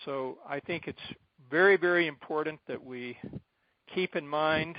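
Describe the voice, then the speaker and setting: A middle-aged American man briefing supporters informally on a conference call, speaking in deliberate, halting phrases with emphatic repetition. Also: fairly high pitch. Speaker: advocacy group president addressing supporters. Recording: dull, band-limited conference call line.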